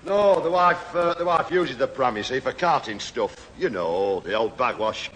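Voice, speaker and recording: A man's voice: mid-range voice, lively intonation, moderate pace, fairly bright tone, close to an everyday voice. Blunt, grumbling Northern English working-class man played by an actor, old radio comedy, faintly hissy. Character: gruff, grumbling middle-aged working-class Northern husband. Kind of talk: 1970s radio sitcom acting. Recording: echoey old radio hall, faint hiss.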